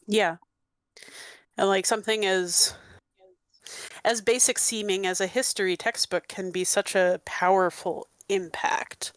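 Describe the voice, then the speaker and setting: A woman who teaches history, talking in a casual panel discussion, animated and full of filler words. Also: mid-range voice, measured pace, long pauses, dry recording. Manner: animated, lots of "like" and restarts.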